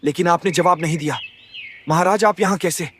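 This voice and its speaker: A man voicing a loyal young wolf commander in an animated fable, respectful and eager in manner. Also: high-pitched, fairly quick, a little hiss or hum. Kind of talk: Hindi animated moral story dialogue.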